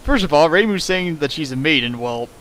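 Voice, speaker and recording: A man's voice: a little high, animated, fairly quick, a bit bright, slight background noise. A man reading a fan fiction aloud for laughs, breaking off into sarcastic, mock-outraged commentary. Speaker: reader riffing a fan fiction. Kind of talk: comedic dramatic reading with commentary.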